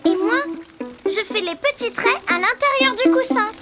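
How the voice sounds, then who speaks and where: An actor voicing an excitable, playful little child-like sidekick in a children's cartoon, full of gleeful exclamations. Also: very high voice, moderate pace. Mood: eager. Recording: loud music underneath.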